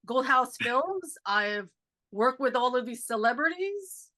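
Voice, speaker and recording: Chatty woman, bright and nasal. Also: high voice, expressive, slow pace. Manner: chatty, lots of "like" and "um". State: nasal from a cold. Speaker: podcast host. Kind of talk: casual chat.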